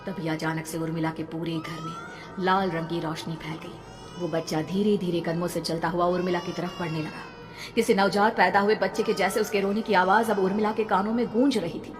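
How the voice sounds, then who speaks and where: A woman narrating a scripted horror story in a dramatic storytelling manner. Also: on the low side. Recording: reverberant, with background music.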